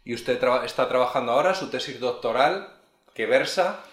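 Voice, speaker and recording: A man's voice: mid-range voice, expressive, a little fast. A man hosting an interview, probing the guest and summing up his points. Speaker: radio interviewer, host. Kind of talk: interview questions. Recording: roomy echo.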